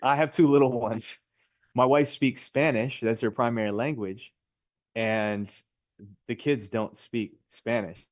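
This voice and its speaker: Upbeat male instructor, chatty, dry close sound, lively with students. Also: mid-range voice, a little slow, no echo. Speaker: real estate instructor. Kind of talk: live class teaching and chat.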